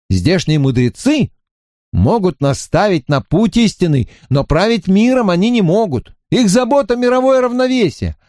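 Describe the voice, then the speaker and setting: A man's voice: sits high, darkish tone, dry recording, studio quality, pitched above the performer's own voice. Guy doing a chatty young wizard student, fired up, voice bounces up and down. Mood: fervent. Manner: earnest, fervent, slightly mocking. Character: eager talkative young male wizard student.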